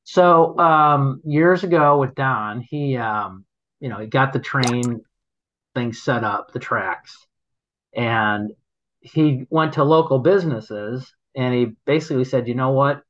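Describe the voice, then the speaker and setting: A man telling stories in casual chat, halting and rambling, laughing often as he goes. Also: medium pitch, fairly bright tone, dull audio. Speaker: guest, local business owner.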